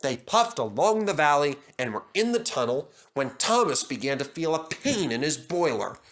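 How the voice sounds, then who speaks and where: A man's voice: fairly high pitch, big pitch swings, normal speed, a bit bright. A man reading scripted narration for a children's story as the storyteller.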